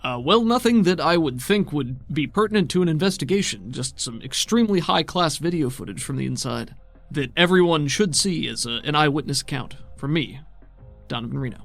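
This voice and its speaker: A man's voice: fairly high pitch, lively intonation, brisk, gravelly, soft background music. A man voicing a vain, self-important TV reporter with a grandiose delivery in a tabletop game. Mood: flustered, boastful.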